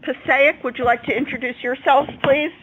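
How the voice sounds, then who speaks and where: A woman hosting and moderating an educational program, speaking in a measured, organized way to groups she introduces. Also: high-pitched, steady tone, fairly bright tone, a little hiss or hum, poor audio. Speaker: host and moderator. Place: school room, live videoconference.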